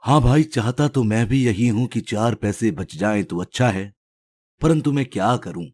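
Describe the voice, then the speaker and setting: A man's voice: medium pitch, moderate pace, darkish tone, lightly acted. A man playing an honest, simple village snack seller in a storybook tale, speaking plainly. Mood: resigned. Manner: earnest, plain-spoken. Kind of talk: storybook character dialogue.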